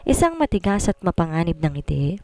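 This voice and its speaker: A woman's voice: lowish voice, big pitch swings, fairly quick, darkish tone, no room sound. A woman with a Filipino accent reading a dramatic first-person romance story as scripted narration.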